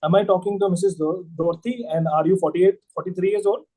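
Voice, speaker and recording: High, mellow, polite young-sounding male doctor. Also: steady tone, fast, breathy edge, poor audio. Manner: polite, hesitant, formal, often says ma'am. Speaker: doctor practising a patient consultation. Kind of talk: role-played clinical history taking.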